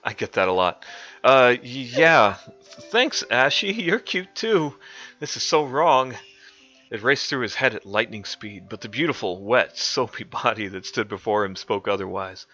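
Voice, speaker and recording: A man's voice: mid-range voice, lively intonation, measured pace, pushed and bright. A young man streaming a mock-dramatic reading of a comic fanfic, often cracking up with laughter. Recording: dull lo-fi stream audio with faint music.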